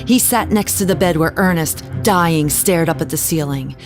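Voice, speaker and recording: An actress playing a haunted, genteel wife who tells her story in a measured, dramatic way in an audio drama. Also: sits low, music in the background, natural voice. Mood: haunted, grave. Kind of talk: scripted audio drama narration.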